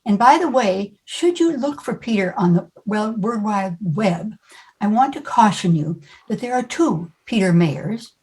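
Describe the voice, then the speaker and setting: A woman's voice: medium pitch, animated, unhurried, a little mellow, slightly rough, poor audio. Female service host, mid-range voice, informal announcements to a congregation. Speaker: service host giving thanks.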